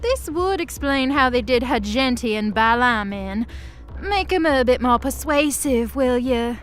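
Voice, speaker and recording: Woman playing a drawling, high-voiced gleeful demon boss. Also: expressive, measured pace, a little hiss or hum. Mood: sly, commanding. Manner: drawling, taunting, theatrical. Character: gleeful, mocking, commanding female demon boss. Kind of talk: audio drama acting.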